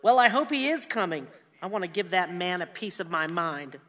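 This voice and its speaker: A feisty older wife in a radio drama. Her voice is forceful and bright, with a blunt, scolding edge of exasperation. It rings with long hall reverb. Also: lowish voice, animated, normal speed. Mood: indignant, scolding. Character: feisty, sharp-tongued older wife fed up with her husband. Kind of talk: radio play acting.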